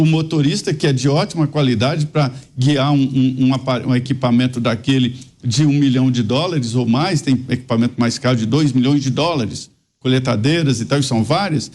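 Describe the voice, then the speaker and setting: A man's voice: a little high, a little mellow. Emphatic mineiro man arguing politics. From Minas Gerais, Brazil. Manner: emphatic, argumentative, rambling asides. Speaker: political commentator. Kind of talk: panel debate commentary.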